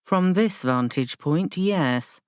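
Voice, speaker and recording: Woman voicing a calm, low-pitched woman spiritual guide. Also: slow, clear, resonant tone, dry recording, studio quality. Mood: serene. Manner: calm, formal, patient explaining. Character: gentle, unwavering woman spiritual guide in a fantasy novel. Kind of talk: audiobook character dialogue.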